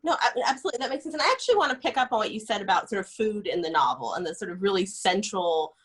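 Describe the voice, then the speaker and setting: A woman scholar interviews an author over a video call, her voice husky and her pitch moving in lively swings. She asks long, meandering questions padded with "sort of" and other hedges. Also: very fast. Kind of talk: conversational interview.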